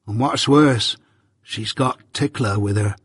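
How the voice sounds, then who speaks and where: A man voicing a slow, gentle and simple village blacksmith who speaks mildly and plainly. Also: on the low side, husky, no room sound, full character voice. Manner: slow, mild and plain-spoken.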